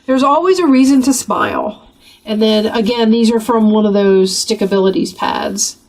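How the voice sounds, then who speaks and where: A woman with a gravelly voice from a cold, chatting casually as she flips through a craft journal. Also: a little high, very animated, normal speed, darkish tone, rough recording. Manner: chatty, rambling, with many fillers. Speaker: craft channel host.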